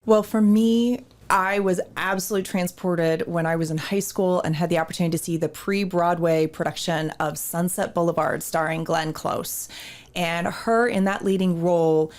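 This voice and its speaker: A woman, a theater ministry director, interviewed as a guest, telling stories in a lively way and acting out her own past remarks. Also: on the low side, normal speed. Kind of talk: casual interview storytelling.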